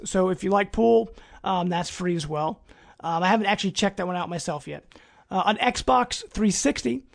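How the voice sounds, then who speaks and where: High-voiced man hosting a casual podcast. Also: creaky. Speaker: podcast host. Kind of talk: casual gaming news podcast talk.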